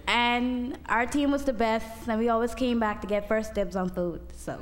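A woman's voice: fairly high pitch, steady tone, moderate pace, crowd noise. Chatty young woman telling a story. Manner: chatty, filler-heavy storytelling. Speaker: student giving testimony. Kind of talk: casual storytelling testimony. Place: church hall. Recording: long hall reverb, faint noise.

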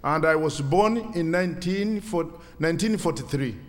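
Older Kenyan man in his sixties, church testimony in hesitant English, fairly high voice, long hall echo. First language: Swahili. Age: 60s. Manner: halting, simple English with many pauses. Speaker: guest speaker at church.

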